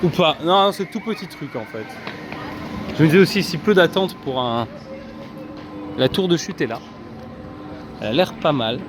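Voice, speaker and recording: A man's voice: on the high side, lively intonation, normal speed, pauses often, noisy background. A man casually vlogging from a busy theme park, chatting to his viewers with lots of fillers. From France. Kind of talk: casual vlog commentary.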